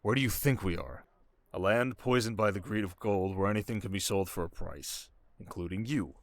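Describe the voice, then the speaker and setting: A man playing a young, sharp desert king in an intimate ASMR roleplay, teasing his new wife with measured, quietly commanding speech. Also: lowish voice, no room sound, close to an everyday voice. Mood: hushed, urgent.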